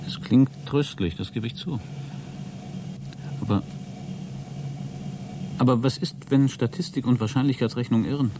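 Actor as male astronaut, radio drama. Sceptical, dry, sardonic, medium pitch, faint noise behind. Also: unhurried, pauses often, close to an everyday voice. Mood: doubtful. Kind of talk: German radio play acting.

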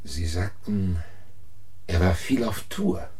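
Deep, husky, troubled crime-drama confidant. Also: male voice, animated, slow, darkish tone, no room sound, full character voice. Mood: earnest, probing. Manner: searching, urgent, reflective. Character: earnest, troubled male confidant who narrates and schemes to help. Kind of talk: German radio crime drama acting and first-person narration.

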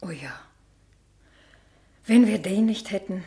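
Mellow-voiced, wary, melancholy spinster. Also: female voice, medium pitch, lively intonation, slow pace. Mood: wistful, hesitant. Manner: hesitant, terse, subdued. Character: reserved, wary, melancholy spinster niece of faded household. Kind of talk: 1960s German radio play acting.

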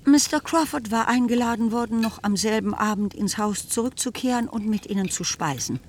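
A woman's voice: on the high side, fairly quick, darkish tone. A woman narrating a literary radio play as scripted storytelling.

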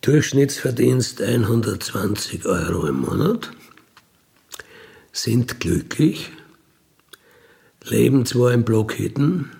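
Slow, gravelly, wistful ex-robber. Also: male voice, medium pitch, darkish tone. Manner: halting, musing, trailing into murmurs. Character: wistful dying ex-robber dreaming of freedom in Siberia. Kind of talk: intimate naturalistic radio play monologue.

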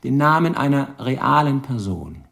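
An actor playing a shrewd, dogged police lieutenant in a radio crime drama, probing deliberately with dry irony. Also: male voice, mid-range voice, very animated, gravelly, fairly bright tone, exaggerated voice. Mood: dryly pressing.

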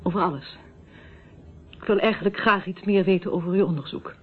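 Genteel, uneasy hostess, tinny recording. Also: female voice, lowish voice, fairly quick, breathy edge. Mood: uneasy, probing. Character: polite, uneasy society hostess, discreetly probing. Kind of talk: Dutch radio drama acting. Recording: tinny, narrow old radio recording, faint noise.

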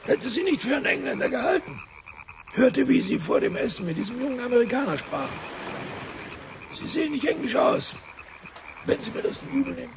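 An actor playing a gruff, chatty old British major who talks in clipped bursts, with a cough, in a radio drama. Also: male voice, very high-pitched, big pitch swings, brisk, pauses often, a loud crowd, exaggerated voice. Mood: blunt, chatty. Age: older. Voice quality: frequent coughing, damaged breath. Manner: clipped telegraphic sentences, military bluffness.

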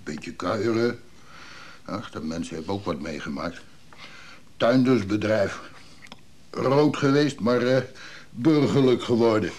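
Lonely old gent for radio drama: unhurried, folksy, wry, repeats himself, kindly. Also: male voice, on the low side, darkish tone. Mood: wistful, resigned. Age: older. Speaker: actor in a radio play. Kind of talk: naturalistic Dutch radio drama dialogue.

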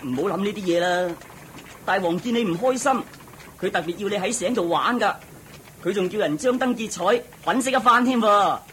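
A man playing a carefree, servile royal charioteer in a Cantonese audio drama, excitable and chirpy. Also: high voice, moderate pace, slight background noise. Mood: soothing, cheery.

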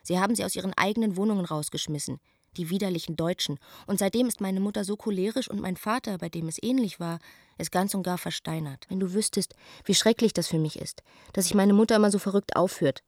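A young woman played by an actress speaks an anguished radio-drama letter in a medium-pitched voice. She is intimate and reflective with a bitter streak, recorded very dry. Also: fast talker, darkish tone, dry recording, lightly acted.

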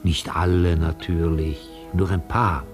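An actor playing a gentle, nostalgic old retired opera tenor in a German radio play, courteous and halting. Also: male voice, deep voice, little pitch movement, soft background music. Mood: proud, nostalgic. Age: older.

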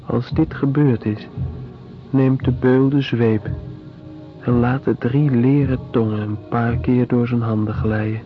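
A dull radio-play recording with faint music behind. An actor as a sober witness speaks at mid pitch and very slowly, in grave, deliberate short phrases. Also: male voice, fairly even intonation, natural voice. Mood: grave, observing. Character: sober male witness recounting a prison flogging. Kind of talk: slow dramatic monologue in radio play.

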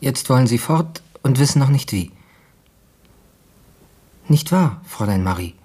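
Earnest, courteous newspaper editor. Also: male voice, mid-range voice, animated, a little slow, darkish tone, breathy edge. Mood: sympathetic. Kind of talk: German radio play acting.

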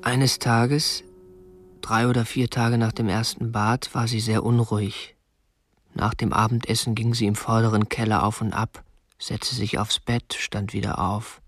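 A man's voice: fairly low pitch, little pitch movement, a little slow, dry recording. Stiffly polite, obsessive young man. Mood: stiff, confessional. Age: young adult. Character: meek, stiff, obsessive young male kidnapper, respectable petty bourgeois. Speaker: actor and first-person narrator in a radio play. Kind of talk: radio drama narration and dialogue.

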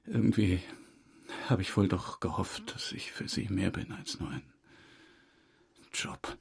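An actor playing a defensive, wounded murder suspect in a crime drama, halting, self-pitying and insistent on his honesty. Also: sits low, fairly even intonation, gravelly, husky, exaggerated voice. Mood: halting, dejected. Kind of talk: German crime radio drama dialogue.

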